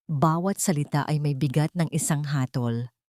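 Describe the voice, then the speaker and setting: A woman's voice: low voice, moderate pace, a little mellow, dry recording, studio quality. A woman narrator delivering dramatic scripted storytelling for an audio drama.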